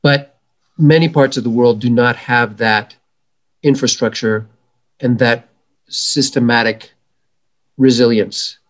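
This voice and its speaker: Earnest middle-aged man explaining calmly. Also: medium pitch. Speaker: environmental educator guest. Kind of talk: interview answers. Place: home room. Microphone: video call.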